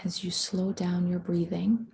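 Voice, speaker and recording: A woman leading a guided meditation in a hushed, soothing, unhurried manner, with long pauses between phrases and a soft, breathy voice. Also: fairly low pitch, flat delivery, slight background noise.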